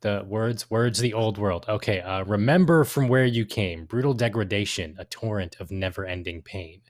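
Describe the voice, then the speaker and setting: Male podcast co-host, lower-pitched, halting and casual, very dry close recording. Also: dry recording. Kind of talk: casual lore discussion.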